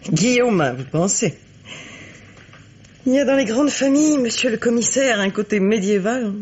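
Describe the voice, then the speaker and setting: Haughty, husky bourgeois woman. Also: a little high, animated, a little mellow. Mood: bitter, disdainful. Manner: articulate, measured, coolly disdainful. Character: cynical, haughty bourgeois woman, sister of murder victim. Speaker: radio drama actress. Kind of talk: French radio mystery acting. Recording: dull vintage radio recording.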